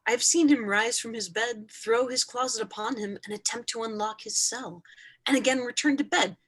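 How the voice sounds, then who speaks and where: A woman's voice: a little high, moderate pace, a bit bright, slightly rough, rough recording. A woman playing a guarded night attendant in a Shakespearean staged reading. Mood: earnest.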